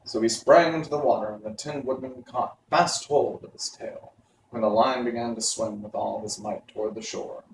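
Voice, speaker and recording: A man's voice: mid-range voice, fairly even intonation, moderate pace, creaky. A man narrating a storybook, reading aloud. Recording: roomy, distant mic, faint noise.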